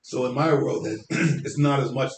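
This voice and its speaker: Deep-voiced man talking shop. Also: normal speed, reverberant, noisy background. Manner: talkative, confident, explaining at length. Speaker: hairstylist guest. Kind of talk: casual interview chat.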